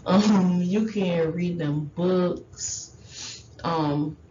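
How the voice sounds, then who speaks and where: A woman whose first language is Haitian Creole gives parenting advice in a loose, rambling presentation. Her low voice moves slowly, full of ums and restarts. The recording is dull and lo-fi, with a faint hiss underneath. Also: warm tone. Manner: rambling, many fillers and restarts. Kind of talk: informal class presentation.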